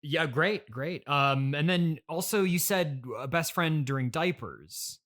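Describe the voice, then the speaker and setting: A man's voice: a little high, unhurried, a bit bright. A man hosting a casual comedy interview, polite, hesitant and mildly bemused. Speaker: podcast host, interviewer.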